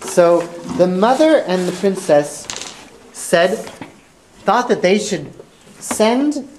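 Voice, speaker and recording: A woman's voice: mid-range voice, big pitch swings, slow pace, a little mellow, slight background noise, rough recording. A woman narrating an improvised fairy tale for a children's puppet show, in simple, repetitive phrases.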